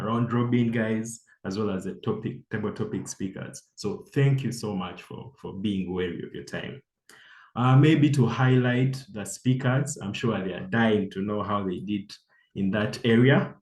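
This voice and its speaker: Male timekeeper, fairly low pitch, reporting informally in a reverberant space. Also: a little mellow. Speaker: meeting timekeeper. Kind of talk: informal spoken report to a meeting. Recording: echoey room sound.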